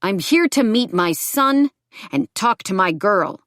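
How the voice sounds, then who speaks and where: A menacing, sneering male bully, as a woman narrator plays him, taunts and threatens in an audiobook story. The voice is pushed louder and higher, with a close, dry sound. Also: animated, a little slow, no echo. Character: menacing, sneering, angry ex-boyfriend, lanky thug with knife.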